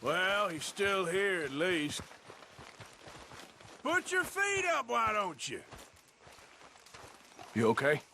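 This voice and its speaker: An actor playing a tough, wry Western outlaw with a gruff, folksy American drawl in a video game cutscene. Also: male voice, high voice, big pitch swings, takes it slowly, long pauses, sharp tone, slight background noise, full character voice. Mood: easygoing, wry. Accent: American, rural Western drawl. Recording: music behind the voice.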